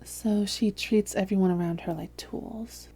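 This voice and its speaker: A woman playing a calm female vampire therapist in a roleplay audio, speaking softly, gently and in a measured, reassuring way. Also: mid-range voice. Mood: calm, probing. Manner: measured, gentle, reassuring, breathy hushed phrases. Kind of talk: soft-spoken roleplay audio, therapist addressing listener.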